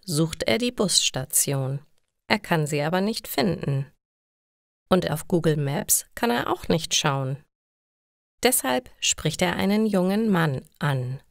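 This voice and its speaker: A woman reading simple scripted stories for language learners in a measured, clearly enunciated way. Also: fairly low pitch, dry recording, clean studio sound. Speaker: language-learning story narrator.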